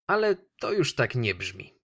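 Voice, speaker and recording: Man, radio host, film review monologue, medium pitch, conversational, opinionated. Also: big pitch swings, unhurried, sharp tone, slightly rough. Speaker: radio show host presenting films.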